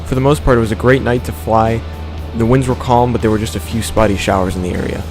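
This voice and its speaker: A man's voice: mid-range voice, fairly even intonation, fast talker. A man narrating his own flying video in a voice-over. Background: music.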